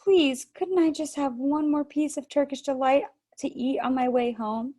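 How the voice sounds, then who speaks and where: A woman's voice: high-pitched, fairly even intonation, normal speed, warm tone, voice pushed up, natural voice. A woman reading aloud over a video call, voicing a sulky young boy who answers snappishly. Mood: pleading. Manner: snappish, defensive.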